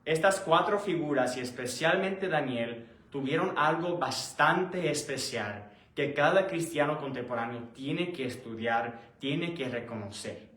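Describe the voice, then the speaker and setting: Male Bible teacher, slightly high voice, halting explanatory delivery, roomy echoing recording. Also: lively intonation, a bit bright. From born in Puerto Rico, raised in the United States. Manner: explanatory, halting, rephrases often. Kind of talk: conversational sermon, Bible study teaching.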